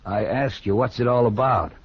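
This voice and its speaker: An actor playing a cool, defiant drifter outlaw in a 1950s radio western, husky and politely evasive. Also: male voice, medium pitch, normal speed, slight background noise, lightly acted. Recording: dull old radio recording.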